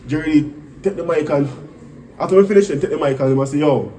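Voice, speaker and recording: A man with a Jamaican accent telling stories casually in Patois in an animated way, acting out what people said. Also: fairly high pitch, brisk. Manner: animated, acts out quoted speech. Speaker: musical artist telling career stories. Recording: distant mic with faint background noise.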